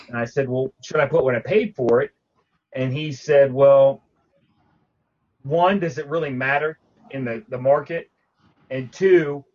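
A man's voice: medium pitch, muffled recording. A man co-hosting a casual talk show about collecting, rambling with frequent restarts and fillers. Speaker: podcast co-host.

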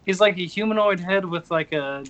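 A man's voice: high voice, moderate pace, fairly bright tone, dull audio. A man in casual chat, rambling and hesitant as he shows off toys. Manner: rambling, hesitant, many fillers.

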